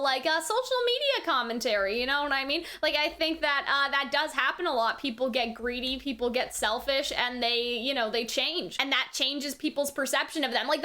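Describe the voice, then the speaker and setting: Casting: female online reviewer, notably high and bright voice, chatty, informal commentary full of slang. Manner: chatty, slangy, frequent "like" and tag questions. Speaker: anime reviewer. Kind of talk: casual reaction commentary.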